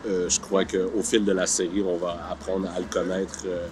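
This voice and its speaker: Low-voiced man, halting. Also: level tone, background noise. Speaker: actor being interviewed. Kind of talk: interview answers. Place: outdoor park film set. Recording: music clearly under the voice.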